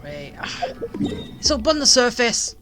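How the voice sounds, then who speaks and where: A woman's voice: a little high, very animated, unhurried, fairly bright tone. A woman doing casual, playful gameplay commentary, thinking aloud and reacting to dialogue. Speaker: gameplay streamer. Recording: game music behind the voice.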